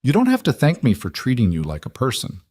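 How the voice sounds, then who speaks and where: A man voicing a gentle, plainspoken, steady single dad in a narrated story. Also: mid-range voice, fast talker, no echo, studio quality. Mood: quiet, sincere.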